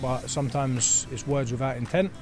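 A man's voice: mid-range voice, moderate pace, music in the background. A young man answering a street interview confidently and reflectively outdoors, into a handheld mic. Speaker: passer-by. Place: outdoors in a park.

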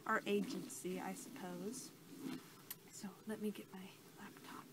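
A soft-spoken young woman doing an improvised ASMR role-play as a researcher, halting and casual, recorded at arm's length in a small bedroom. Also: medium pitch, flat delivery. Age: young adult. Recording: clearly noisy home recording.

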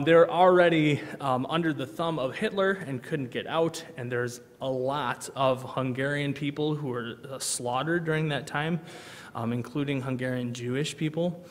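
A man's voice: a little high, moderate pace. A man in his thirties, a missionary giving a church presentation from the pulpit, earnest with many ums and uhs. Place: large church sanctuary, at a pulpit. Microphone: pulpit microphone, far camera. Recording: long reverb of a church hall.

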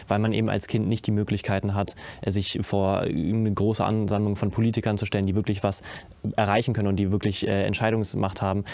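A man's voice: fairly low pitch, a little mellow, no echo. A teenage boy on a stage panel, speaking earnestly in long run-on sentences full of hesitations. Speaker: young cast member. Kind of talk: panel discussion answer. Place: stage with curtain, indoors.

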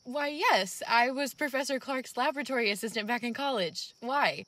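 A woman's voice: a little high, moderate pace, a bit bright, dry recording. A young woman playing an earnest, curious, loyal scientist in an old-time radio drama, polite and proper. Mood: polite, puzzled. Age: young adult.

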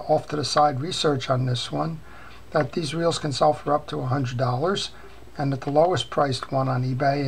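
An older man hosting a fishing reel repair channel, talking casually while showing reels on his workbench, with many "uh" pauses. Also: mid-range voice. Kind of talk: casual show-and-tell. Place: workbench at home. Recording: clear background noise.

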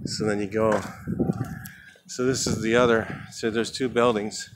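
Rambling painter on a street walkabout. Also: male voice, lowish voice, little pitch movement, a little slow. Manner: rambling, thinking aloud, trailing off. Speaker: house painter filming a walkthrough. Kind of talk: casual walking commentary. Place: outdoors beside a large building. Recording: outdoor camera audio with traffic noise.

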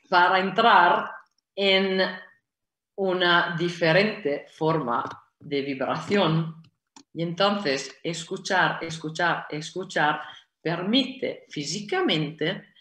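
Casting note: Italian woman, forties, unhurried, halting delivery, roomy echo on a video call. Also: sits low, fairly bright tone. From Italy. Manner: slow, halting, many fillers and restarts, gestures with hands. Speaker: guest speaker. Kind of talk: online conference Q&A. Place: indoor room at home. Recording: echoey video call audio.

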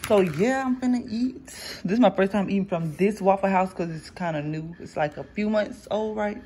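A young woman talks straight to her viewers in a loose, chatty vlog, held on a phone at arm's length. Her pitch sits in the middle of the usual range. The recording is noisy phone audio. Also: normal speed, dark tone. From Florida, US. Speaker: vlogger. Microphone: phone camera held at arm's length.

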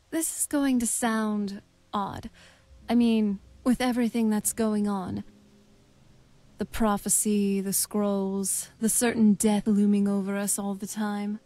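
A woman playing a wistful, shy, dry-witted young vampire woman in halting, intimate video game dialogue. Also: medium pitch, dry recording. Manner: halting, soft-spoken, hesitant.

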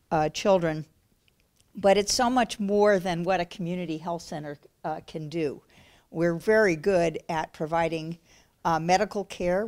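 A woman in her sixties, head of a health center, speaking loudly and appreciatively with many fillers at a podium. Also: lowish voice. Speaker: health center executive director. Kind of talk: speech at a community breakfast. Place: large community function room. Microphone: podium microphone.

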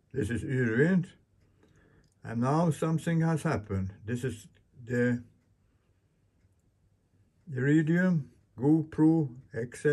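A man in his eighties from Sweden, a solo sailor explaining his boatbuilding in a casual, halting, plain-spoken vlog in his workshop. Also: medium pitch, mellow. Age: 80s. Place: large indoor boat workshop.

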